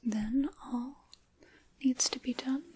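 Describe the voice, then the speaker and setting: A woman voicing a sinister, mock-courteous dark witch for an ASMR roleplay, in a breathy whisper with theatrical, formal wording. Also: high voice, fairly even intonation, heavily acted. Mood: scheming, hushed. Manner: slow, theatrical, formal old-fashioned wording.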